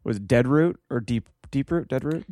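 A man of middling pitch with a very lively, swinging intonation, recorded close and dry. He chats as a playful podcast co-host, casual and animated. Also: normal speed, no echo. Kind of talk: casual banter.

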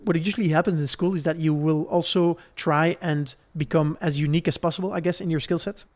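A man's voice: fairly high pitch, fairly even intonation, brisk. A man from Belgium explaining a subject in a conversational podcast style, laying it out step by step and checking in as he goes. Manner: didactic, step-by-step, checks in with "right?". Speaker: podcast host explaining investing.

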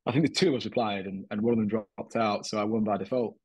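Guest, man in his 30s from Manchester; low, husky, easygoing, self-mocking. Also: no echo. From Manchester, England. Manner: relaxed, self-deprecating, halting with fillers and restarts. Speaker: guest, documentary maker. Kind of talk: casual interview chat.

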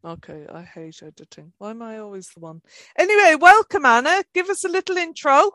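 A woman in her fifties hosting a family podcast, brisk and teasing as she keeps the talk moving. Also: sits high, big pitch swings, fairly bright tone, no room sound. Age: 50s. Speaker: podcast host. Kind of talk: casual family chat.

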